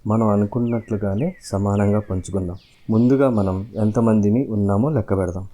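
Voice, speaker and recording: A man's voice: on the low side, fairly even intonation, normal speed, mellow, natural voice. A man voicing a boastful, bullying lion king in a fable, speaking with grave self-importance.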